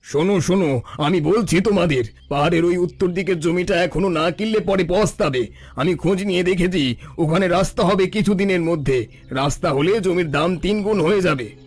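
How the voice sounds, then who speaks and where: A man's voice: sits high, normal speed, breathy edge, no echo. A man voicing a scheming, self-serving village shopkeeper in a Bengali cartoon story, pushy and persuasive. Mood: cajoling.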